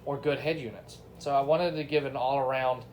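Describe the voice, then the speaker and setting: A man's voice: medium pitch, steady tone, a little fast, a little mellow, slight background noise. A man giving a casual, unscripted product review, talking informally to his viewers. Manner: informal, addresses viewers as y'all and guys.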